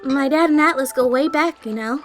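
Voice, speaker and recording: A woman playing a plucky, chatty young cat woman in an audio drama, squeaky and eager, rambling a little. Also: brisk, soft background music, close to an everyday voice.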